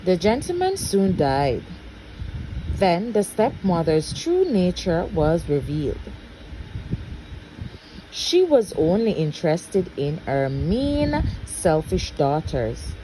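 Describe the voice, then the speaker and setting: A woman reading a storybook aloud as a parent would at bedtime, expressive and deliberate. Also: lowish voice, slight background noise, rough recording.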